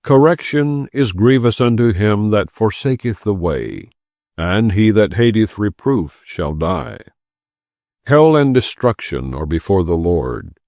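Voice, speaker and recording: A man narrating an audiobook of scripture in a solemn, formal, measured reading style. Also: fairly low pitch, sing-song, vocal fry, a little mellow, no echo, studio quality.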